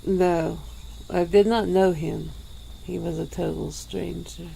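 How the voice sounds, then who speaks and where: Woman playing a slow, gravelly ancient vampire. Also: on the low side, steady tone, mellow, a little hiss or hum, heavily acted, low-quality recording. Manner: slow, measured, formal speech. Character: centuries-old female vampire, calm and regretful. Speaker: ASMR roleplay performer. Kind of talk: ASMR interview roleplay.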